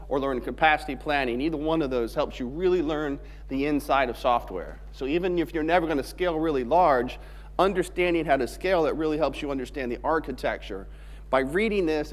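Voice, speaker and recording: A man from Alabama giving a technical conference talk in a joking, conversational way. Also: a little high. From Alabama, USA. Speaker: conference speaker. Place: large conference hall stage. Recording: long hall reverb on stage mic.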